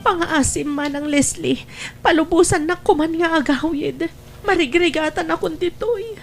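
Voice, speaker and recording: A woman acts a young provincial daughter in a family radio drama. The voice is very high and girlish, with an earnest, plaintive, emotional delivery. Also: moderate pace, gravelly, music in the background. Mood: tearful, pleading.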